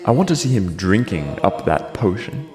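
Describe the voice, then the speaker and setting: A man's voice: on the low side, sing-song, normal speed. An actor playing a vengeful, gloating king in a classical tragedy, grandiose and theatrical. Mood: gloating triumph. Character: vengeful gloating king savoring his brother's ruin. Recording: music under the voice.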